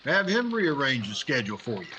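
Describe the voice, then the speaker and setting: Bright-voiced, wry newspaperman, played by a man. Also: medium pitch, very animated, fairly quick, slight background noise. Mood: wry, uneasy. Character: wry, jaded newspaperman, uneasy right-hand man. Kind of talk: 1950s radio drama acting.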